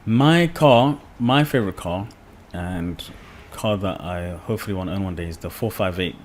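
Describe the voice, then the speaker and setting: A young man from the UK, a podcast guest, talking in a relaxed, rambling way with many fillers. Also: deep voice, moderate pace, a little hiss or hum. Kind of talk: casual podcast conversation.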